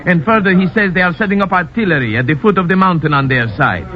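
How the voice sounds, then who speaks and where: An actor playing a grave, loyal army lieutenant with formal, earnest, theatrical old-time radio delivery. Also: male voice, high-pitched, animated, fast talker, soft background music. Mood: urgent, alarmed. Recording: muffled, noisy old radio recording.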